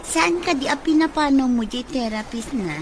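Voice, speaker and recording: A woman's voice: high-pitched, normal speed, crowd noise. A woman playing a villain's sly, coaxing sweetheart in a radio drama, full of questions. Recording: clearly noisy background.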